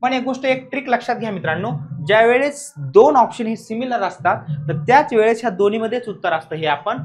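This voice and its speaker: A man's voice: extremely high pitch, animated, a little fast. Male exam coach who keeps checking in. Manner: repeats key points, frequent check-in questions to students. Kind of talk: classroom lecture explaining exam questions.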